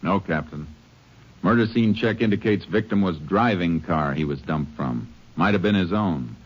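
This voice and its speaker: Casting: calm Ranger investigator, very low voice, clipped and matter-of-fact, old radio western with dull hissy sound. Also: male voice, moderate pace. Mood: brisk, official. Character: calm, methodical male Texas Ranger investigator. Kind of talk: 1950s radio western drama acting and narration. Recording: dull old radio recording with clear hiss.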